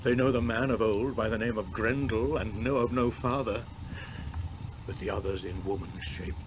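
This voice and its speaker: An actor voicing a solemn, haunted old king in grief, speaking in a hushed, grave, dramatic way for an epic storybook reading. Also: on the low side, moderate pace, close to an everyday voice. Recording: noisy old cassette transfer.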